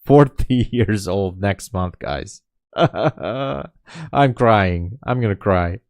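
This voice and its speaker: A man in his late thirties with a low, deep voice talks casually on a live trading stream. He is chatty and candid and responds to viewers' comments. The sound is dry and close, with almost no room echo. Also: lively intonation, very slow. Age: 30s. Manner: candid and self-deprecating. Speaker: day trader streaming live. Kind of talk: casual livestream chat.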